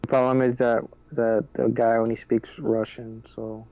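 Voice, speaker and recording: A man with a halting delivery, discussing plans as a player in a tabletop game. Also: mid-range voice, little pitch movement, mellow, dry recording. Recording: dull, lo-fi, dry microphone.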